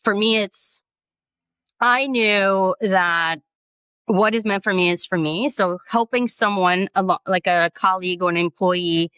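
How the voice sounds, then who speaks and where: Bright, crisp-voiced woman guest. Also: medium pitch, no echo. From Brazil. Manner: earnest, reflective, with frequent "right?" and "you know". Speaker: guest, nonprofit founder. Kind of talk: conversational interview.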